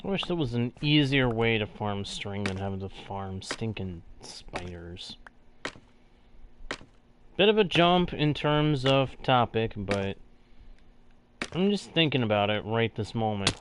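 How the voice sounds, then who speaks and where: A man's voice: medium pitch, a little hiss or hum. A man hosting a gaming stream, thinking aloud in a rambling, casual way, repeating himself and using mild folksy exclamations. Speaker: game streamer hosting a let's play.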